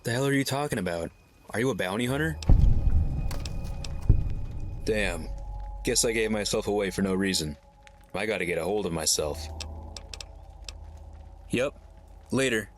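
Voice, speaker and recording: A man's voice: lowish voice, expressive, normal speed, pauses often, background noise, natural voice. A man voicing a surly, guarded drifter on the run in a video game, curt and irritable. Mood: resigned. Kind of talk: video game dialogue acting.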